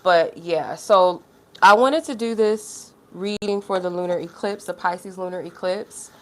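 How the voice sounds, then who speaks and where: A woman with a somewhat low voice talks through a tarot livestream in a loose, rambling way, full of filler words. Her nose is stuffy and she sounds a little unwell. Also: a little slow. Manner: rambling, heavy use of "like" fillers. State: feeling unwell. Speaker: tarot reader. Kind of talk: casual livestream chat.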